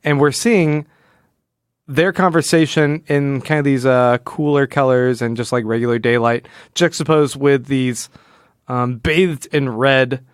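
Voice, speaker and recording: A man's voice: mid-range voice, clean tone, dry recording, studio quality. A man co-hosting a podcast, talking casually and analytically, often rephrasing himself as he explains. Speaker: podcast co-host.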